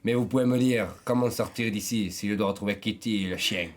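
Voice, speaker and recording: A man's voice: fairly low pitch, fairly quick. A man hosting a video in casual, joking chat with a playful, slangy manner. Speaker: video host.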